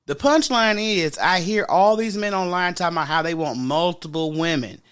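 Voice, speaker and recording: A woman with a deep voice hosting a podcast in casual solo chat, frank and sassy, talking straight to her listeners. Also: moderate pace, smooth. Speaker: podcast host.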